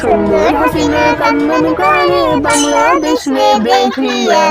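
A woman's voice: high voice, slow, loud music behind the voice. A woman singing a folk wedding song in a cartoon, drawn out and lilting.

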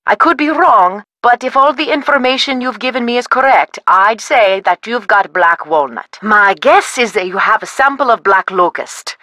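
A woman's voice: on the high side, lively intonation, moderate pace, few pauses, bright, forceful tone, no room sound. A woman playing a wood lab scientist in a video game, asking methodical questions over the phone with a dry joking manner. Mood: helpful.

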